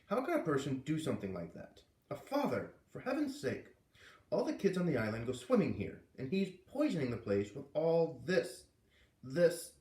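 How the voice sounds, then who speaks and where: A man's voice: fairly high pitch, huge pitch swings, normal speed, a little mellow, roomy sound, far from the mic, voice pushed up. A man voicing an angry, indignant mother in a children's story he reads aloud. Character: irate mother, middle-aged woman, indignant.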